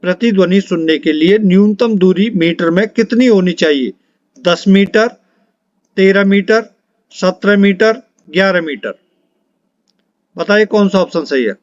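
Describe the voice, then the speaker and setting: A man's voice: sits high, a little slow, long pauses, dry recording, muffled recording. A man, an exam coaching teacher reading out quiz questions and answers in an instructional way, repeating for emphasis.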